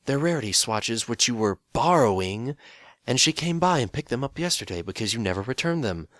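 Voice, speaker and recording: A man's voice: medium pitch, big pitch swings, brisk, a bit bright, no echo, clean studio sound, voice pushed up. A man voicing a practical, easygoing male handyman pony who talks in a casual, wry and matter-of-fact way.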